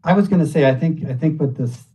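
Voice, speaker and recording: A hoarse man, a county commissioner, making a measured, reasoned case at a public meeting. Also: on the high side, warm tone, poor audio. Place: meeting room.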